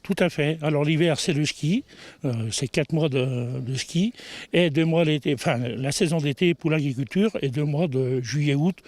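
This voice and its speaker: A man with a fairly high voice answers informally and agreeably in an interview. He explains in short phrases, recorded dry with little echo. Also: normal speed, a little mellow.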